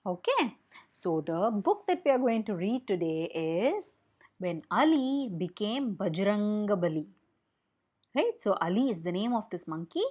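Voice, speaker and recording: A young woman with an Indian accent telling a picture-book story to children, explaining as she goes and asking questions in an expressive way. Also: mid-range voice, a little slow. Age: young adult.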